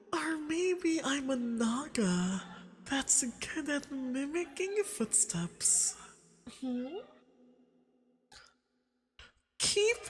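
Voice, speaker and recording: Seductive femboy vampire acted by a man, possessive, very slow, breathy coaxing whispers, swooping intonation, ASMR roleplay, long reverb. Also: very high voice, heavily acted. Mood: teasing, seductive. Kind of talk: intimate ASMR roleplay acting. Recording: long reverberant hall echo.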